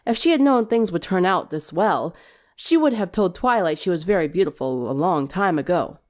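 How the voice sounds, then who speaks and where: Woman narrator for fan fiction, lively varied intonation, storytelling delivery. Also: lowish voice, normal speed.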